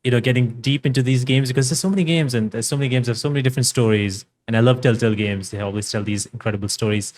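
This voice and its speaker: A man's voice: mid-range voice, very fast, few pauses, a little mellow. A man hosting a podcast interview, speaking in an enthusiastic, upbeat way. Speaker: podcast host. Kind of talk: interview and show plug.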